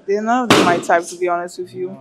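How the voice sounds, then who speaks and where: A woman's voice: on the low side, quick pace, over loud music. A young Jamaican woman with a Jamaican accent, a dating show contestant talking frankly and teasing on a handheld mic. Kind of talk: casual chat.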